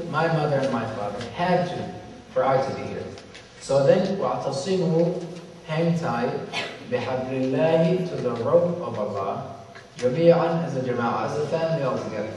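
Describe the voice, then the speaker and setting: A man from Somalia giving a religious lecture to an audience, speaking in a measured, repetitive way with slow emphasis and Arabic recitations. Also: medium pitch, darkish tone, slight background noise. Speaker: symposium speaker. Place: large hall with audience. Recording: echoey hall from far off.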